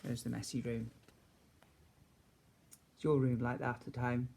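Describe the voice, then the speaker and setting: A man's voice: medium pitch, level tone, unhurried, gravelly, darkish tone, a little hiss or hum. A man reading a children's story aloud as a teacher would, expressively, with chatty asides to his young listeners.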